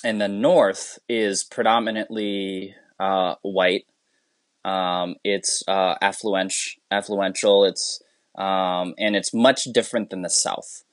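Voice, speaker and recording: A man, a teacher from the United States, talking as a guest in a relaxed interview. He is earnest and rambling, restarting his sentences often, and his voice sits fairly low. The sound is dry and close. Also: takes it slowly, no room sound. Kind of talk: casual conversational interview.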